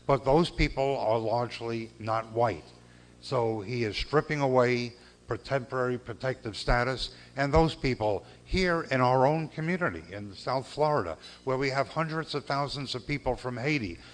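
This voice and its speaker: An older man giving a speech at a gala, earnest and measured, with pauses and repeated phrases. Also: mid-range voice. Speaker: civil rights lawyer giving a gala speech. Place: banquet hall.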